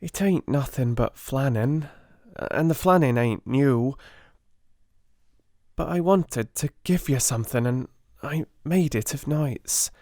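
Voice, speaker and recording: A man's voice: mid-range voice, takes it slowly, a little mellow, no echo, clean studio sound, pitched above the performer's own voice. A man voicing a humble, timid young servant girl with a working-class English accent, stammering and deferential, in an audiobook. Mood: anxious, earnest. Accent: working-class English dialect.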